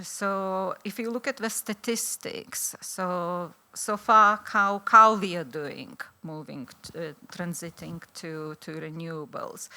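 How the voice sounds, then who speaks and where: Lithuanian-accented woman, middle-aged, conference speaker; bright, forceful tone, lowish pitch, hesitant delivery. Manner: hesitant, many fillers and restarts. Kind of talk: conference presentation.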